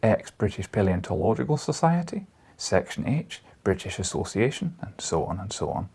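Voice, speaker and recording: Man voicing a brusque, gravelly older newspaper editor. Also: lowish voice, very animated, normal speed, a little mellow, exaggerated voice. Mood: brisk, dry.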